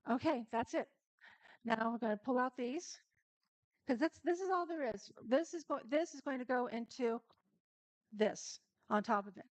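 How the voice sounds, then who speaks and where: A woman's voice: a little high, moderate pace, no echo, poor audio. A woman hosting a casual live-stream cooking demo, chatting straight to her viewers and laughing easily.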